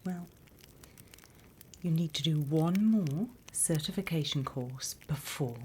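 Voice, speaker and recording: Woman playing a slow, whispering British demon teacher. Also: sits low, dark tone, a little hiss or hum. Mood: mock-stern, welcoming. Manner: slow, deliberate, teacherly, teasing menace. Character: sly demon teacher briefing little demon trainees, mock-stern. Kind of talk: whispered ASMR roleplay.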